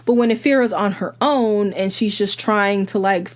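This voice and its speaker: Slightly hoarse chatty woman book reviewer. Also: mid-range voice, normal speed. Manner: chatty, rambling with fillers and restarts. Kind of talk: casual book review monologue.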